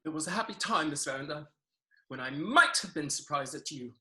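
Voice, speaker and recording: A woman's voice: deep voice, expressive, fairly quick, bright, forceful tone. A woman playing a lovesick young army captain in a staged reading of a Victorian farce, formal and wounded.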